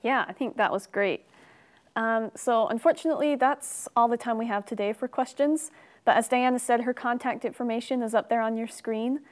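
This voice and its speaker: A young woman hosts a webinar from a studio set, speaking in a fairly high voice. She reads audience questions and announces prizes in a friendly, upbeat way. A lapel mic picks her up clearly. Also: normal speed. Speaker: webinar host. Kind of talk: hosting a webinar.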